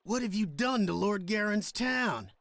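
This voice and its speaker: A man's voice: high voice, lively intonation, unhurried, vocal fry, a bit bright, slight background noise, exaggerated voice. An actor playing a menacing, spiteful henchman villain in a video game. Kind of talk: video game cutscene acting.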